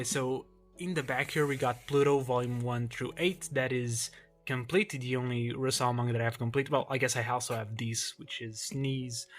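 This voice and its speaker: A young man from Portugal whose native language is Portuguese, casually showing his manga shelves in a relaxed, rambling way with frequent ums and restarts. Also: mid-range voice. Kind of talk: casual collection tour vlog.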